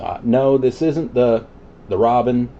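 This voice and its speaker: A man's voice: mid-range voice, a little slow, warm tone. A man chatting casually as a CB radio operator. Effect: CB radio transmission. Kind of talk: casual chat over CB radio. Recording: muffled CB radio audio with static. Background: radio static.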